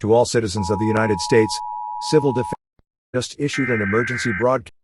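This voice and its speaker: A man reading a scripted emergency broadcast as an announcer, in a formal and measured manner. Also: medium pitch, very noisy.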